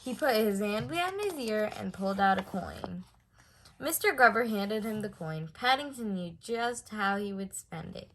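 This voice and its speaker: A woman reading a children's story aloud in an expressive way, stressing words for effect. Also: mid-range voice, normal speed, slight background noise. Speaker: storybook reader.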